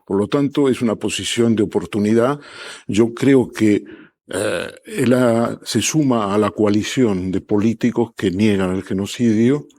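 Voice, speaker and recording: A man giving commentary as a TV panelist, speaking in a measured way. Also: lowish voice, darkish tone, no echo.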